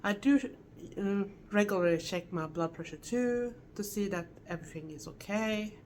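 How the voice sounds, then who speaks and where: A middle-aged woman from Sweden with a Swedish accent, giving an earnest, halting vlog update with self-corrections and non-native phrasing. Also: medium pitch, darkish tone, a little hiss or hum. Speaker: vlogger. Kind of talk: casual health update vlog.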